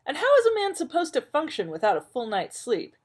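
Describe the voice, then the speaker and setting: A woman's voice: high-pitched, wildly swooping pitch, fairly quick, pitched above the performer's own voice. A woman voicing a neurotic, irritable male doctor who complains in an exasperated, whiny way.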